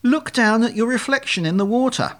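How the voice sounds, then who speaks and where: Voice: medium pitch, expressive, a little fast, pitched above the performer's own voice, lightly acted. A performer voicing a male swan leader in a storybook, explaining things emphatically with well-meaning but strained patience.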